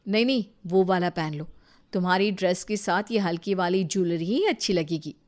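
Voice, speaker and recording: A woman voicing a kind, caring young elder sister-in-law in an animated moral story, gentle and reassuring. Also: on the low side, moderate pace, close to an everyday voice.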